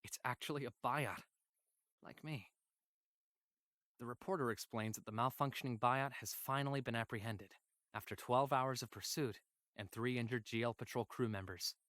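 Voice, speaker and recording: A man's voice: medium pitch, a bit bright, no echo, studio quality, lightly acted. A man playing a weary, obedient male android. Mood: detached, unsettled. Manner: measured, formal, subdued, with hesitant pauses. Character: weary obedient male android companion, polite, quietly troubled. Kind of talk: first-person diary narration in a sci-fi visual novel.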